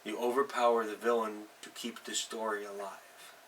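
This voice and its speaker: A middle-aged man hosting an unscripted advice vlog as a game master, speaking haltingly and leaning hard on stressed words. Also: fairly low pitch, little pitch movement, fairly bright tone. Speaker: host, tabletop game master. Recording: distant mic with faint background noise.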